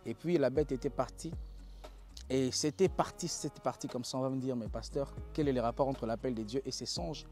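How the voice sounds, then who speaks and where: A young pastor from Congo recounts his calling and trials in an earnest, preacherly tone. His voice sits at an ordinary middle pitch, and he often restarts his phrases. Soft music plays faintly beneath him. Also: a little fast. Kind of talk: personal testimony talk.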